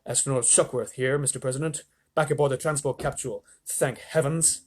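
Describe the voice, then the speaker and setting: A man's voice: mid-range voice, steady tone, a little fast, natural voice. A man voicing an earnest male astronaut in a children's story, reporting in a plain-spoken, folksy way. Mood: shaken, relieved.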